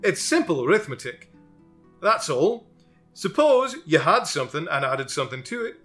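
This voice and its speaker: A man's voice: on the high side, very animated, normal speed, fairly bright tone, pitched above the performer's own voice. A man voicing a pedantic many-faced geometric male figure with a put-on Scottish accent, with faint guitar music behind. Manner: pedantic, exclaiming.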